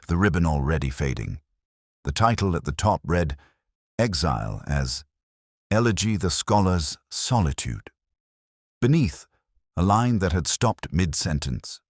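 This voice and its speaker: A man narrating a scripted first-person detective story in a measured, literary and formal way. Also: low-pitched, dry recording, studio quality.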